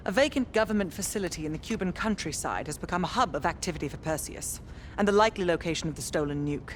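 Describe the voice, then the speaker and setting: An actress playing a businesslike intelligence agent giving a briefing in a video game. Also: female voice, on the low side, expressive, fast talker, slight background noise, close to an everyday voice. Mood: serious briefing. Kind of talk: video game cutscene acting.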